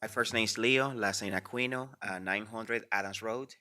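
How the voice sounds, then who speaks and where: A man's voice: lowish voice, moderate pace, pushed and bright. A man from the Dominican Republic, speaking English as a second language, earnestly explaining his permit request at a public hearing. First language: Spanish. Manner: earnest, filler-heavy with "you know". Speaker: permit applicant.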